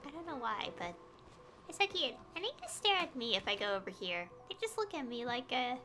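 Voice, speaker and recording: A woman's voice: on the high side, sing-song, brisk, sharp tone, slight background noise. A woman game streamer chatting casually on a livestream in a rambling, conversational way. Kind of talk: casual livestream chat over gameplay.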